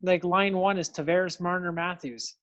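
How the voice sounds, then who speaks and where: A man's voice: high-pitched, fairly even intonation, normal speed, a bit bright. Rambling, easygoing man in his thirties. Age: 30s. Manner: easygoing, rambling, self-amused. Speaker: podcast co-host. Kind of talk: casual chat.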